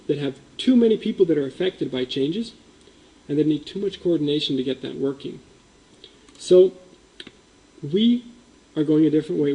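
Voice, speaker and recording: A man's voice: fairly high pitch, warm tone, poor audio. A man who grew up in Indonesia, giving a conference presentation in a meeting room, explaining with emphasis and frequent ums.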